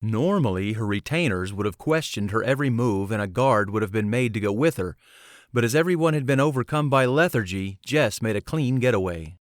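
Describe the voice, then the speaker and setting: A man's voice: on the low side, animated, brisk, no room sound. Man narrating a storybook, very dry recording. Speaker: audiobook narrator and author.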